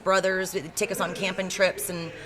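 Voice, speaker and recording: A woman in her thirties from Seattle, a country singer-songwriter, chatting frankly in an interview with a deeper voice and lots of ums and you-knows. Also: level tone, moderate pace, a crowd in the background, rough recording. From Seattle, USA. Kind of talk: casual interview storytelling.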